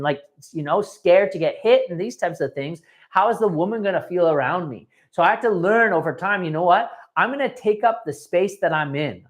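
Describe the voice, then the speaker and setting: A man giving a live training talk as a dating coach, emphatic and repetitive, often checking in with his listeners. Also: a little high.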